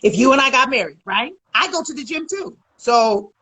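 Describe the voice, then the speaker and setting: A woman relationship coach in a casual conversation, loud, emphatic and blunt, swearing freely. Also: sits high, animated, normal speed, husky, poor audio.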